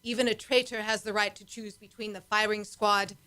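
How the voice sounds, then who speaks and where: High priestess played by a woman: scheming, formal, insistent, sharp bright voice, radio serial. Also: flat delivery, a little fast, close to an everyday voice. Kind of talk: amateur radio play acting.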